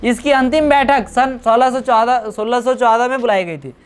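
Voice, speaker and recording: A woman's voice: on the high side, moderate pace, smooth. Hindi woman teacher. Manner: keeps checking understanding, repeats dates, teasing asides. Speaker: coaching class teacher. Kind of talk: classroom lecture with questions to students. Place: classroom.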